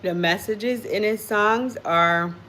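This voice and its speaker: A woman's voice: mid-range voice, takes it slowly, pushed and bright, a little hiss or hum. A woman with a Jamaican accent, raised in the United States, chatting casually with viewers on a live stream and answering their comments with easy laughter.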